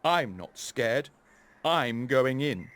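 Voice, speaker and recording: A sneering storybook crow who talks big and scares just as easily, as the narrator puts him on. His voice ranges widely from low and scornful to a high squawk. The reading is slow and deliberate. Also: lively intonation, fairly bright tone.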